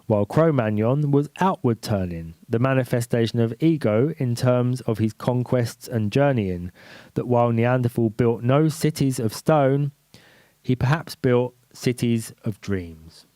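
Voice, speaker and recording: A man reading a book aloud on a live stream, measured and deliberate, with a slightly stuffy nose. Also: mid-range voice, dark tone, no room sound. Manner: measured reading, then rambling with restarts and fillers. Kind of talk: book reading then casual chat.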